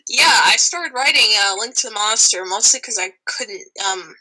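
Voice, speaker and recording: Woman guest in casual podcast chat, slightly high voice with a very bright, piercing edge. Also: lively intonation, moderate pace, low-quality recording. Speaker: podcast guest, voice actress and writer.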